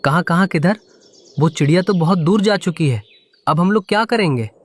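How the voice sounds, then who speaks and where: A man's voice: sits high, moderate pace. A man voicing a predatory hawk, a reassuring husband and father, in a Hindi children's cartoon. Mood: flustered.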